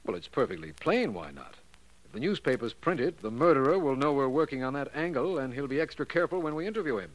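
A man's voice: mid-range voice, big pitch swings, fast talker, natural voice. A man acting an earnest, formal district attorney in a 1940s radio mystery. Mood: earnest, puzzled. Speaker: radio actor. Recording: faintly noisy vintage 1940s radio recording.

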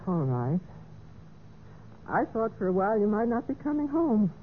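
An actress playing a gentle, trusting, concerned wife in an old radio mystery. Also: female voice, medium pitch, very animated, normal speed, natural voice. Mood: mildly concerned. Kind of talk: 1940s-50s radio mystery acting. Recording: tinny, faintly noisy vintage radio recording.

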